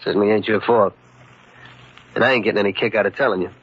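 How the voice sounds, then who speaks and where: An actor playing a tough, calmly menacing gangster henchman with an American accent and blunt, slangy talk, in an old-time radio crime drama. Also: fairly low pitch, fast talker, slightly rough. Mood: matter-of-fact. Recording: muffled, dull old radio recording.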